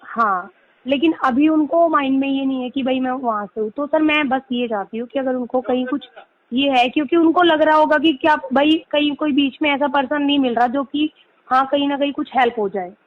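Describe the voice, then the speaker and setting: A woman's voice: high voice, brisk, phone-quality sound. A woman lawyer explaining and persuading insistently on a recorded call, mixing in English legal terms. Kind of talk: persuasive phone conversation. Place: recorded phone or video call.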